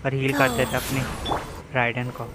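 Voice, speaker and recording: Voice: mid-range voice, monotone, rapid-fire, very noisy. A game streamer of unclear sex with an Indian accent, chatting casually on a live stream. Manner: mixes Hindi and English. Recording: faint game music behind.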